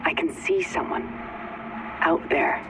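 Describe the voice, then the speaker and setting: A man's voice: slow, noisy background, lightly acted. A man playing a watchful, discreet scout in a video game, speaking in a guarded, confiding way. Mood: cautious, confiding. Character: watchful, discreet male scout companion in a camp. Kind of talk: video game cutscene acting.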